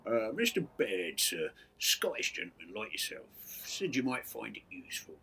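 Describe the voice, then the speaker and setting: A working-class British deliveryman in a 1930s audio drama. His voice is extremely husky and rough, with animated pitch. He is jocular and colloquial, laying on the deference. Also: moderate pace, full character voice. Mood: chummy, deferential. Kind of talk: full-cast audio drama acting.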